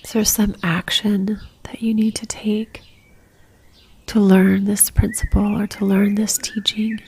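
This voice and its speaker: Woman, meditation guide, mellow near-whisper, faint fry, gentle, calm, level intonation, unhurried. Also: medium pitch, slight background noise. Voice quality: soft, breathy, near-whispered.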